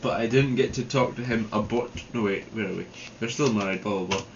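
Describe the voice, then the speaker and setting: A man's voice: lowish voice, brisk, gravelly. A Scottish man casually reading fan fiction aloud among friends, with amused, mocking asides and some swearing. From Scotland. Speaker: reader and co-host. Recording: muffled lo-fi room recording with hiss.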